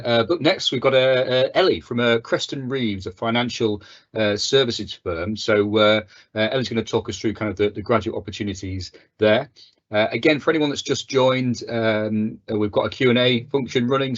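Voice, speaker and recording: A man with a fairly low voice hosts an online careers webinar and hands over between speakers. He hesitates often, filling gaps with uhs and repeating words. The audio is a dull video-call recording. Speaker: event host.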